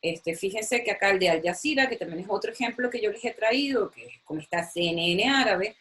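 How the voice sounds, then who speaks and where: A woman's voice: mid-range voice, lively intonation, fairly quick, fairly bright tone. Chatty woman lecturing. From Chile. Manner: chatty, enthusiastic, repeats words and uses many fillers. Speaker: university lecturer. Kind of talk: recorded online lecture with screen sharing.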